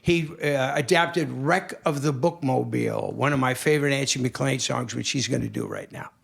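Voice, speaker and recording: A man's voice: mid-range voice, normal speed, creaky, fairly bright tone. A man hosting a casual online concert stream, chatting and introducing the next guest. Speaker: show host. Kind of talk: casual livestream chat.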